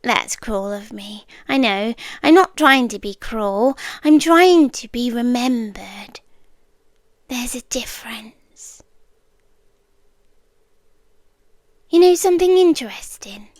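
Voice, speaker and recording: A British woman playing a calm, obsessive stalker girlfriend in an intimate ASMR roleplay, hushed and deliberate, often slipping into whispers, tender yet softly menacing. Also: on the high side, no echo, studio quality. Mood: wistful, menacing. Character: soft-spoken obsessive girlfriend stalker, calm, coolly intense, yandere.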